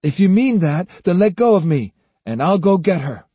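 A man's voice: sits high, very animated, normal speed, dark tone, husky, no echo, voice pushed up, exaggerated voice. A man voicing a plucky, indignant young boy with an earnest, defiant way of talking in an audiobook. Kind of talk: audiobook character voice.

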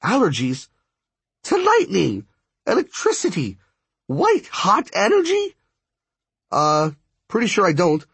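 A man's voice: high voice, huge pitch swings, slow pace, vocal fry, long pauses, fairly bright tone, slightly rough, no room sound, pitched above the performer's own voice, exaggerated voice. A man playing a wary, sarcastic boy in an audiobook story, with an incredulous, hesitant and wry way of talking. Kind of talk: acted audiobook dialogue.

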